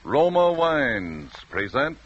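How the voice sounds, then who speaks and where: A man reading a scripted sponsor message as a 1940s radio announcer, with a husky voice on a dull broadcast recording. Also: mid-range voice, sing-song, takes it slowly.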